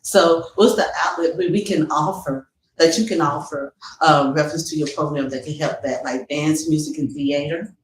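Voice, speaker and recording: A woman's voice: low-pitched, normal speed. A woman co-hosting a casual interview, chatting and interjecting with affirmations. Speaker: co-host, vocal teacher. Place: small studio room, live recorded show. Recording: echoey room, distant mic, faint noise.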